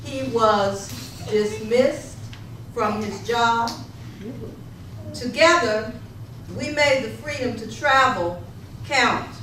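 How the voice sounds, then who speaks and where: A woman declaiming a first-person historical reading, deliberate and broken into short phrases. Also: fairly high pitch, a bit bright. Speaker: readers theater reader. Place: echoey room, live rehearsal. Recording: very echoey, distant, faintly noisy.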